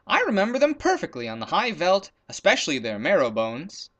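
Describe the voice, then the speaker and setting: A man plays an indignant, puzzled leopard from a children's storybook. The voice is raised high and bright, and it swings up and down with emphatic exclamations. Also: huge pitch swings, fairly quick, heavily acted. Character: puzzled, indignant, hungry male leopard hunter.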